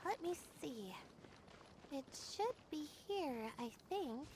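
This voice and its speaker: A young female scholar from a fantasy video game. Her voice sits very high, with a soft, sighing, hesitant delivery. Faint ambient noise runs behind her. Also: animated, drawn-out words, heavily acted. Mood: calm, self-assured. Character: young woman student, anxious and sleepy, with calm other self.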